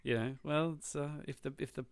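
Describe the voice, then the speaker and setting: A man's voice: fairly high pitch, fairly quick, creaky, a little hiss or hum. A man co-hosting a casual podcast, joking and talking in loose restarts. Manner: relaxed, joking, trailing restarts. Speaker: podcast co-host. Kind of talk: casual chat.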